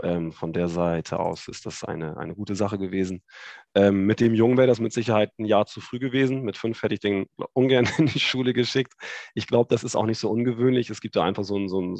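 A man in his thirties from Hamburg with a northern German accent, chatting as a guest on a video call, relaxed and hesitant with many fillers. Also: on the low side, creaky, no echo. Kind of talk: casual chat.

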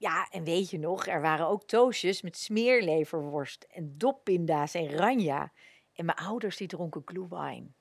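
A woman's voice: on the low side, lively intonation, a little slow, creaky, husky, no room sound, full character voice. A woman playing a nostalgic older woman who reminisces chattily and colloquially about childhood in a radio play. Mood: fond nostalgia.

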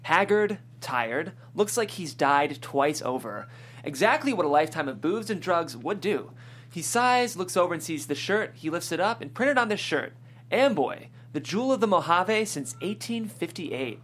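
A man's voice: a little high, very animated, normal speed, a bit bright, breathy edge. A man hosting a script reading and narrating stage directions in a descriptive storytelling style.

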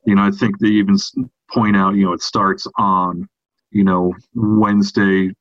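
Low-voiced man, dull dry lo-fi recording. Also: level tone, normal speed, no room sound. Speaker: podcast co-host. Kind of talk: casual film review chat.

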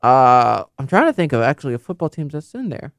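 Young guy rambling off his notes, lots of um and like, fixes himself a lot, smooth clear voice, dry. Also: mid-range voice, sing-song, a little fast, dry recording. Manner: rambling, self-correcting, many fillers. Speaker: football video host. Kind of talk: casual unscripted commentary from notes.

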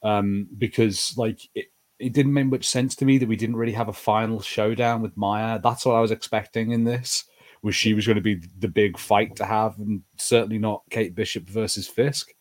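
A man's voice: fairly low pitch, fairly even intonation, moderate pace. A man co-hosting a podcast, chatting casually as he reviews TV shows. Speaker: podcast co-host.

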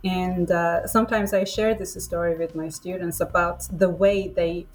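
Low-voiced woman from Iran, halting speech. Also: fairly even intonation. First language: Persian. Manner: thoughtful, halting, with frequent uhs. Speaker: guest and English teacher. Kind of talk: casual podcast conversation.

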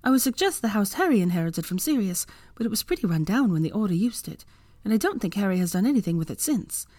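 A woman reads as an earnest young heroine in a storybook. The voice is lifted well above a low register, caring yet determined, and recorded dry and close. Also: sing-song, fast talker, dark tone, dry recording.

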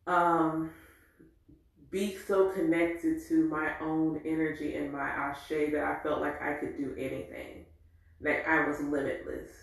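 A woman in her forties telling a candid, reflective personal story in an animated way. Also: low-pitched. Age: 40s. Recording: very echoey room.

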